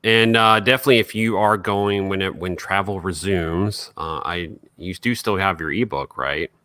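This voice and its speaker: Deep, clear-voiced man co-hosting a podcast. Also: moderate pace, pushed and bright. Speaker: podcast co-host. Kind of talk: casual podcast chat.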